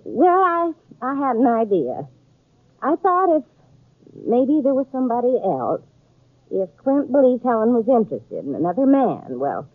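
An actress playing a fussy, sheepish older frontier aunt with a rural western American accent in a 1950s radio western. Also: female voice, a little high, slightly rough, no room sound, full character voice. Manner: flustered, faltering. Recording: tinny, narrow-band 1950s radio recording.

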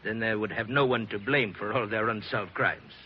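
A man's voice: fairly low pitch, normal speed, lightly acted. Suave Italian-accented bandit chief, played by a man, old radio. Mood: suave, mocking. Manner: courtly, mock-polite, boastful. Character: suave, vain male Sicilian bandit chief, menacing and theatrical. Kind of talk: 1940s radio drama acting. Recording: dull, noisy old radio recording.

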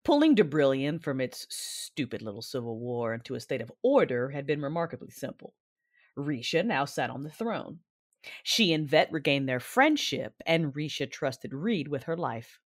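Cool, calculating dark-side woman; lively inflection, dry studio sound, first-person narration. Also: low-pitched, normal speed, dry recording. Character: cool, shrewd Sith-like woman strategist narrating.

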